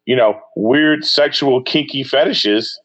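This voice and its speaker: A man chatting on a podcast as a guest, jokey and animated, often trailing off and restarting. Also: mid-range voice, slow. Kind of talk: casual podcast chat. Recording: dull lo-fi sound.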